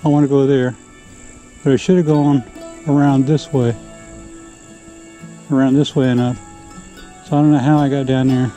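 A man's voice: mid-range voice, flat delivery, normal speed, pauses often, mellow, faint music behind. A man vlogging as he hikes a forest trail, talking easily to the camera he carries outdoors. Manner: easygoing, talking to camera while walking. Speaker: hiker vlogger. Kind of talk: casual hiking narration. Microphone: action camera carried while hiking.

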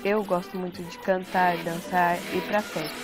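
A woman's voice: fairly low pitch, level tone, moderate pace, close to an everyday voice. A woman playing a party-loving reality show contestant in her twenties. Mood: upbeat. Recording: prominent music behind the voice.

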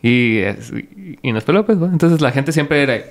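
A man's voice: mid-range voice, moderate pace. Easygoing Guatemalan man hosting. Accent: Guatemalan Spanish. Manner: relaxed, uses "vos" and "¿va?", many repeats. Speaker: podcast host. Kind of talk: casual podcast conversation.

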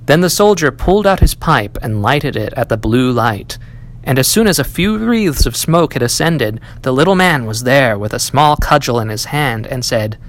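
A man reading a fairy tale aloud as an audiobook, in an expressive storybook style. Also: mid-range voice, moderate pace. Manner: storybook delivery, acting out dialogue. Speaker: audiobook reader, library staff.

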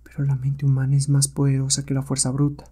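A noble comic-book adventurer, read by a male narrator, with a mellow voice. He is calm and measured, quietly sure of the power of his mind. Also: medium pitch, slightly rough, natural voice. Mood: quiet resolve. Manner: calm, self-assured, measured. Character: calm, noble turbaned hero man with hypnotic powers.

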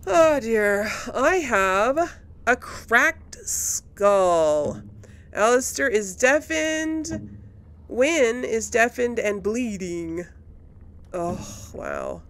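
A woman gives casual gameplay commentary, thinking aloud at an unhurried pace. Her pitch moves around a lot and often drops into creaky fry, with faint noise behind her. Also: lively intonation, a bit bright. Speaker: gameplay host. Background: video game sounds.

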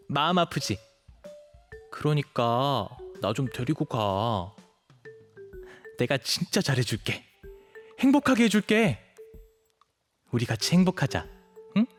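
A man plays a young dog shapeshifter in an audio drama, boyish and cutesy. His pitch swings in a lively way as he pleads eagerly. Light music plays behind him. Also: natural voice. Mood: pleading, hopeful. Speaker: voice actor. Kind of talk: scripted Korean audio drama acting.

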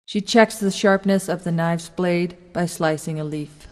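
Female narrator for radio drama stage directions, low and mellow, long reverb. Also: unhurried.

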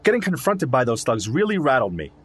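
Husky, earnest zoologist friend. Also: male voice, medium pitch, big pitch swings, a little fast. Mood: concerned. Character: concerned, loyal male zoologist friend of an investigator. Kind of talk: audio drama dialogue.

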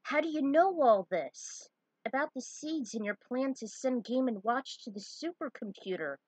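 A woman's voice: sits high, expressive, normal speed, breathy edge, no room sound, voice pushed up, low-quality recording. A woman voicing a blunt, indignant warrior heroine who questions sharply, in a fan story reading. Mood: infuriated.

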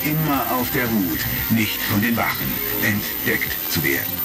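A man's voice: on the low side, big pitch swings, unhurried, vocal fry, over music, full character voice. A man as the storyteller of a retro space adventure audio drama, reading scripted narration. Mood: suspenseful.

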